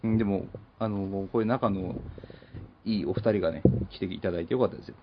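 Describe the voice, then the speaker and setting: A man's voice: low-pitched, quick pace, heavy background noise. A man hosting a casual radio show, bantering and throwing in frequent interjections. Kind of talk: casual joking radio chat. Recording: muffled lo-fi audio with music behind. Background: background music.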